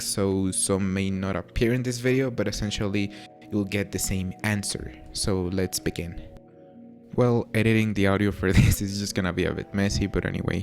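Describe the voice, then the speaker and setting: A young Mexican man with a Spanish-tinged accent that carries a few British vowels, his voice sitting low. He talks in an easygoing, rambling way, full of fillers and self-mocking asides. Faint music plays quietly under him. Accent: Mexican with British touches. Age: young adult. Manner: relaxed, chatty, self-deprecating with frequent fillers. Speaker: YouTuber. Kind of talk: casual Q&A to camera.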